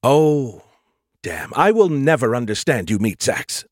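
A man voicing an excitable, arrogant artificial intelligence in an audiobook, flippant and quick to insult. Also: mid-range voice, dry recording, clean studio sound. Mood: baffled, snarky.

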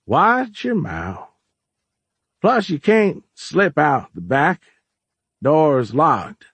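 High, slow-drawling old Southern shopkeeper. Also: male voice, sing-song, a bit bright, breathy edge, no room sound, exaggerated voice. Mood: weary disappointment. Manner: slow drawl, disappointed fatherly tone. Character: weary, unhurried old Southern male shopkeeper.

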